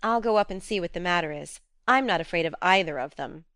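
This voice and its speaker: A woman's voice: fairly low pitch, expressive, a bit bright, no echo. A woman reading an audiobook, voicing a frank, spirited young woman who coaxes and scolds directly. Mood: concerned. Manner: coaxing, brisk and direct.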